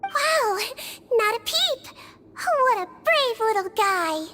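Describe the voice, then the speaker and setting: A woman voicing a small, kind, unfazed nonhuman head nurse in a video game, gentle, patient and playfully matter-of-fact. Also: extremely high pitch, very animated, takes it slowly, noisy background, exaggerated voice. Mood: friendly, encouraging. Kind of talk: English video game voice acting.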